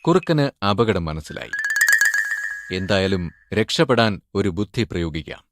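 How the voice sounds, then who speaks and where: A man narrating animated moral stories for children in a steady storytelling manner. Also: low-pitched, normal speed. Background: background music.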